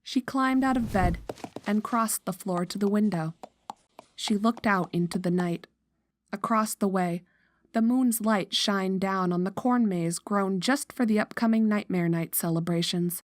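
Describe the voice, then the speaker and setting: A woman's voice: medium pitch, measured pace, no echo, clean studio sound. A woman narrator reading a scripted horror story.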